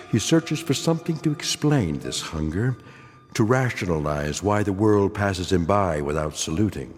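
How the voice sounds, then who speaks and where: A man acting as a grave, ominous narrator of an eerie radio drama, speaking with deliberate weight. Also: on the low side, a little mellow, in a large hall. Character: grave omniscient male narrator of an eerie anthology. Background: music.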